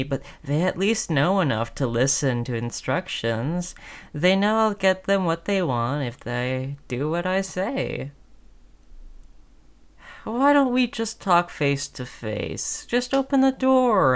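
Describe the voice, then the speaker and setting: Woman playing a low-voiced, slow-talking chummy zombie. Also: sing-song, smooth, exaggerated voice. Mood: cajoling, reasoning. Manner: falsely chummy, coaxing, conversational with hesitations. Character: friendly-acting female zombie coaxing prey, sly and chummy. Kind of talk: audio roleplay acting.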